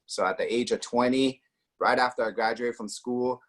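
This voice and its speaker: A man's voice: mid-range voice, steady tone, normal speed. A man in his forties from Austria, telling his personal story in a casual way, with many fillers and acted quotes. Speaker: network marketing guest.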